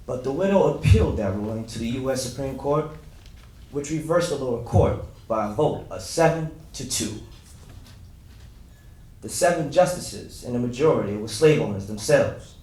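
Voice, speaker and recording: Man acting formerly enslaved man, dignified, declamatory, medium pitch, roomy distant recording, dramatic reading. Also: lively intonation, moderate pace, dark tone, close to an everyday voice. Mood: solemn, firm. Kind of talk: first-person historical monologue, staged dramatic reading. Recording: echoey room, distant from mic.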